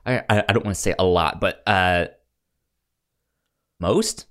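A man co-hosting a podcast, chatting casually about films in a halting way, often stumbling over and repeating words. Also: low-pitched, clean tone. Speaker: podcast co-host. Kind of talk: casual film discussion chat.

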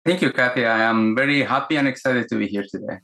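A man from Guatemala with a Guatemalan Spanish accent, telling his story conversationally as an interview guest, often halting and rephrasing. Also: mid-range voice, gravelly, sharp tone. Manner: halting, with repeated words and self-corrections. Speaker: podcast guest, executive coach.

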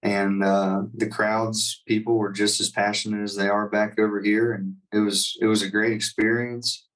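A man's voice: fairly low pitch, little pitch movement, moderate pace, a bit bright, distant mic, rough recording. A man, a touring singer, talking casually in an interview with many fillers and restarts. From United States.

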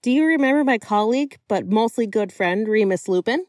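A woman voicing an excitable, affectionate, chatty friend in a fiction reading, animated and emphatic. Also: a little high, brisk, dry recording, clean studio sound, close to an everyday voice. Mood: playful. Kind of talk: acted dialogue in a fiction reading.

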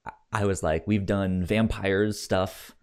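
A man co-hosting a casual film discussion, talking haltingly and often repeating words as he gets his thoughts out. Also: sits low, fairly even intonation, a little mellow, smooth. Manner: halting, repeats words and stammers over starts. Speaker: podcast co-host.

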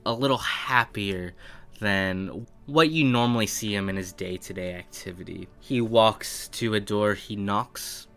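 Male game master, fairly low voice, slow pace, descriptive fantasy narration, halting phrasing, faint music underneath. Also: fairly bright tone. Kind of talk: improvised tabletop game narration. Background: faint background music.

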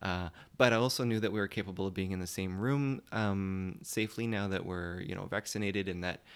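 Low-voiced man chatting loosely, a bit giggly. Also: brisk, clean tone. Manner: loose, animated, giggly, trailing off. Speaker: playwright, interview guest. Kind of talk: casual chat.

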